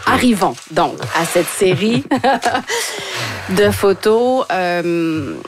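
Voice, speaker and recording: Female interview host, slightly low voice, conversational, rephrasing as she goes. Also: lively intonation, slow pace, over music.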